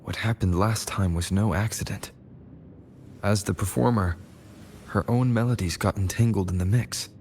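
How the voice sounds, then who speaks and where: An actor playing a calm male traveller in a video game, musing in a measured, thoughtful way. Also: fairly low pitch, a little hiss or hum, natural voice. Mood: pondering. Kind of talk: video game voice acting, reflective monologue.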